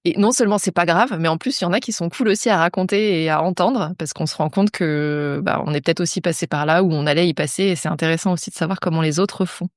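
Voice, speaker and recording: Chatty woman podcast host, low voice. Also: fast, hardly any pauses, no room sound, studio quality. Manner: friendly, chatty. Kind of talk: casual interview chat.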